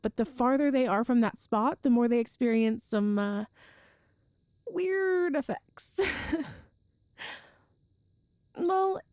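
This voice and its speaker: Woman acting as sweet playful girlfriend, high voice, unhurried, chatty and tender, ASMR roleplay. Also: dry recording, studio quality. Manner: chatty and tender, with soft laughs and sighs. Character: affectionate, playful girlfriend raised by a ghost family.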